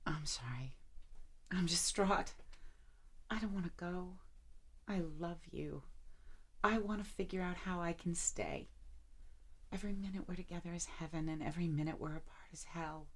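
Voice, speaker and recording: Woman acting a lovesick, low-voiced woman, pleading. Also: normal speed, long pauses, lightly acted. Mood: distraught, pleading. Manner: earnest, emotional, pleading. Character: lovesick, anguished woman pleading with her distant lover. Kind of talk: audio drama acting.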